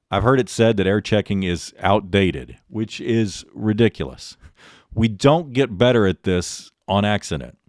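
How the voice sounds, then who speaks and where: A middle-aged man hosting a podcast, delivering a scripted instructional monologue in a measured, articulate presenter's way. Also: on the low side, no echo, studio quality. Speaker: podcast host and radio talent coach.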